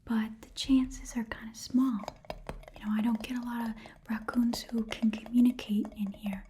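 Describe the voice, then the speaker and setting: A woman voicing a shifty, chatty goblin pawnshop keeper in an ASMR roleplay, whispering breathily in a rambling, hesitant way and repeating phrases softly. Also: on the high side, fairly even intonation, normal speed, a little hiss or hum, lightly acted. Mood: musing, haggling. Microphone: close ASMR microphone.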